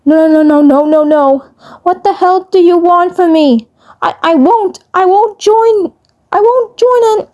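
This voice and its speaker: A woman voicing a terrified young cartoon boy who stammers and repeats frantic pleas in a shrill, strained voice. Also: unhurried, mellow. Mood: panicked, pleading.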